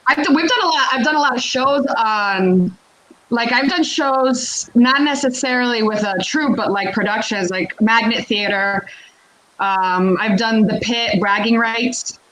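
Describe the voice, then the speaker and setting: Animated, talkative higher-voiced woman guest. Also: unhurried, low-quality recording. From born in Puerto Rico, raised in Connecticut, United States. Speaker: guest, comedian and actor. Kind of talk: casual interview chat.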